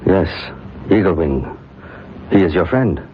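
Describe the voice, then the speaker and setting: Very deep, grave old medicine man. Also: expressive. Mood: grave, counseling. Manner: solemn, measured, formal. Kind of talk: old-time radio drama acting. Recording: muffled, noisy old radio drama recording.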